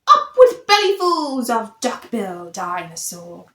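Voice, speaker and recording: Woman as shrill, bossy young girl tyrannosaur. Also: very animated, takes it slowly, exaggerated voice. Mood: warlike. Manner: taunting, chanting shouts. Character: fierce, bossy young female tyrannosaur sisters. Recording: roomy echo.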